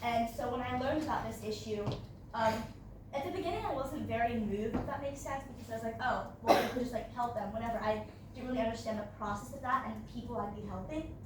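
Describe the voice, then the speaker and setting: A woman's voice: on the high side, fast talker, heavy reverb, distant mic, noisy background. A Brazilian teenage girl giving a class presentation, chatty, with lots of fillers and "like". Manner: casual, many fillers and "like". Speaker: student presenter.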